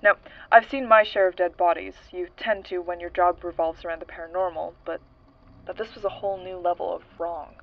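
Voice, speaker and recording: A woman's voice: on the low side, steady tone, a little fast, lo-fi sound, close to an everyday voice. A woman playing a hard-boiled, world-weary female paranormal investigator in a 1920s noir audio drama, with wry, slangy delivery. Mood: grim, shaken. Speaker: investigator and narrator. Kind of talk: audio drama, noir-style recorded case statement.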